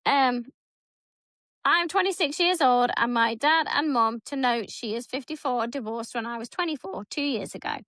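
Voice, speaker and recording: Woman, thirties, podcast co-host. Bright, higher voice, blunt and sweary, dry close mic. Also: moderate pace, dry recording. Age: 30s. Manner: blunt, swears freely, animated storytelling. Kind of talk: casual chat reading a story aloud.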